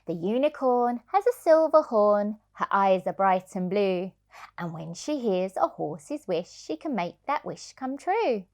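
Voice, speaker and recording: A woman reading a children's storybook aloud as a childminder, in an expressive, sing-song way. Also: medium pitch, unhurried.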